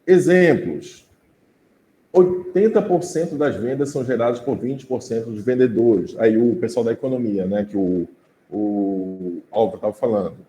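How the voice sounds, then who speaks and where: Mellow Brazilian man teaching a class. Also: medium pitch, moderate pace, highs cut off. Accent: Brazilian Portuguese. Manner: conversational, checks in with the class with tag questions. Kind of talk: informal class lecture. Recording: roomy echo.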